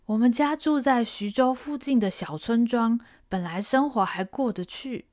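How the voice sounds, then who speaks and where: A woman voicing a poor, stubborn orphan peasant boy who talks in a drawn-out, pleading way. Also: on the high side, lightly acted. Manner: defiant, pleading, drawn-out delivery.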